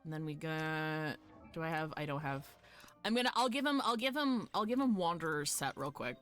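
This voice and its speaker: A woman streaming a game live, chatting casually with viewers in an animated, sweary, fan-like way. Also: low voice, normal speed, faint music behind. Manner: foul-mouthed. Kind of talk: casual chat with viewers while gaming.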